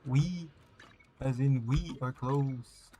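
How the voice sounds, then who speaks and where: A man's voice: mid-range voice, drawn-out words, dark tone, slight background noise. A man chatting casually on a gaming livestream, rambling and thinking aloud in slangy speech. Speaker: game livestreamer. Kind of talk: casual gaming stream chat. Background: game music faintly behind.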